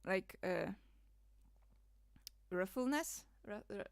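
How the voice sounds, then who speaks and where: A woman's voice: mid-range voice, lively intonation, slow, no echo, clean studio sound. A woman from Poland, rambling and laughing through casual livestream commentary, full of fillers. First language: Polish. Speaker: streamer.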